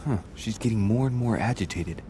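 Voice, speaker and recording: An actor playing a brooding, scheming gentleman musing to himself in a video game, dry and wry. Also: on the low side, animated, a little fast, noisy background. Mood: pensive. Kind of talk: inner monologue in a video game.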